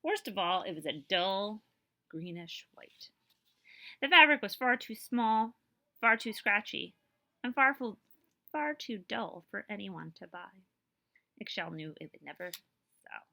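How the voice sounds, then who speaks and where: A woman librarian reading a picture book aloud to children, with expressive storytelling and explanatory asides and questions for her listeners. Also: medium pitch, gravelly. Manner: expressive storytelling, pausing to check understanding with listeners.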